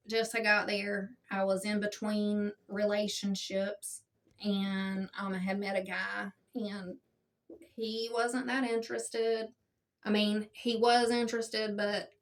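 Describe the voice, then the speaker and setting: Smooth-voiced woman giving gentle guidance. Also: far from the mic. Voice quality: smooth, low-toned soothing voice. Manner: gentle, unhurried, checks in with frequent "okay?". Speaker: spiritual teacher. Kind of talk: casual spiritual self-help talk.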